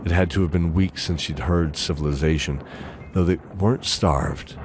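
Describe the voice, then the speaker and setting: A man narrating a fantasy audio drama in a theatrical, deliberate style with measured pauses. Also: low voice, noisy background. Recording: loud music under the voice.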